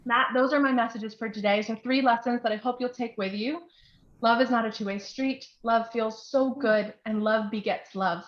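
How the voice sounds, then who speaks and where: A woman's voice: a little high, steady tone, moderate pace. A young woman giving an informal, heartfelt sermon as a church speaker, with an earnest, affectionate manner. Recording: roomy echo, dull lo-fi sound.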